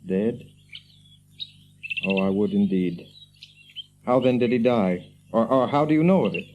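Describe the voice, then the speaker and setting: An actor playing an earnest, weary hobbit on a quest in a fantasy radio drama, speaking in formal, old-fashioned phrases. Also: male voice, on the low side, unhurried, soft background music, close to an everyday voice. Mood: shaken. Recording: dull lo-fi audio with birdsong behind.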